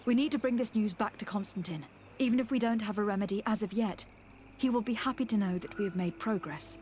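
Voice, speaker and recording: An actress playing a composed young noblewoman diplomat in a video game, speaking in a measured, formal, earnest way. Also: mid-range voice, darkish tone, a little hiss or hum, close to an everyday voice. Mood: pensive. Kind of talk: video game cutscene acting.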